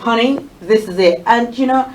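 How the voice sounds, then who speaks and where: A woman's voice: on the high side, fast talker. A woman in her forties from Nigeria, with a Nigerian accent, telling her story as a guest in a casual chat and acting out her own quotes. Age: 40s. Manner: animated storytelling, acts out quotes.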